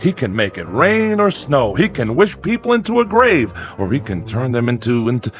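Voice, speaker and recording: Frightened small-town dad, swooping pitch. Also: male voice, wildly swooping pitch, faint music behind. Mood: fearful warning. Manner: halting, repeats words, strained. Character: frightened small-town father, forcing cheerfulness, placating and anxious. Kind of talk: radio drama acting.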